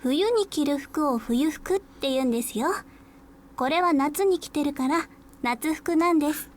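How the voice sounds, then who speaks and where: A woman voicing a gentle, quirky teenage schoolgirl in polite Japanese visual novel style, a native Japanese speaker. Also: high-pitched, fairly quick, lightly acted. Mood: gently puzzled.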